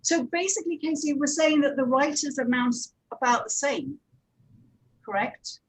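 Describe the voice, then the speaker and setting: A woman with a slightly high voice talks on a panel as a producer. She explains practical matters step by step, pausing and hesitating as she goes. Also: rough recording. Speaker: audio drama producer and director, panelist.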